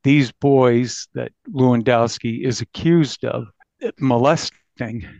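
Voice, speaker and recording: A man who works as a reporter, speaking reflectively in an interview with a halting, earnest manner. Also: mid-range voice, vocal fry, a little mellow, no room sound, muffled recording. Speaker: newspaper reporter being interviewed.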